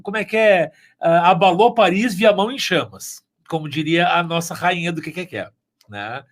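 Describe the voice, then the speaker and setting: A man's voice: sits high, normal speed. A man chatting casually on a podcast, effusive and playful. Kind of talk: casual chat.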